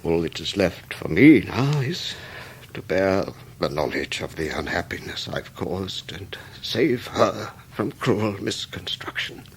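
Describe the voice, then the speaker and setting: An actor playing a gentle, honourable old scholar in a period radio drama, speaking with a British accent in a halting, earnest, dignified way. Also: male voice, low-pitched, sing-song, a little hiss or hum, exaggerated voice. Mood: sorrowful, dignified. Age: older.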